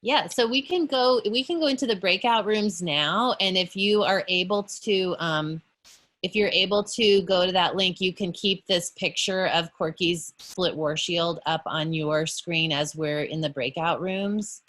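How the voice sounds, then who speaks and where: Low-voiced woman guiding an online art lesson. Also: a little slow, fairly bright tone. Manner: explanatory, with frequent "um" and self-corrections. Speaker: art educator leading a teacher workshop. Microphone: video call.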